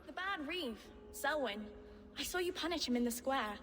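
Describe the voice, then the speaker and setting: High, bright orphan child. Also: female voice, expressive, moderate pace, long reverb tail. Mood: earnest sadness. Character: small orphaned child, earnest and plucky. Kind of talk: video game cutscene acting.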